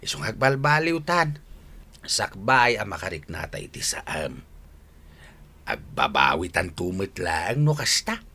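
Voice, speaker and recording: Forceful, rueful old Ilocano father. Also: low voice, big pitch swings, slow, exaggerated voice. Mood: earnest. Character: repentant old father who likes his drink, earnest and rueful. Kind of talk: radio drama acting.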